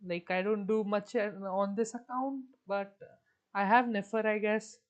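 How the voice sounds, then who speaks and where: A woman's voice: mid-range voice, steady tone, a little slow. A woman chatting casually on a game livestream, thinking aloud in a hesitant way. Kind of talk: casual livestream chat while gaming.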